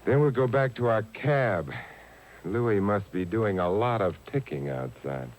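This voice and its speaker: An actor playing a wry, unflappable detective in 1950s American radio style, languid and deadpan with teasing understatement, on an old broadcast recording. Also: male voice, on the low side, a little slow, low-quality recording. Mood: grave, calm. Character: wry, unflappable male detective, lazily sardonic.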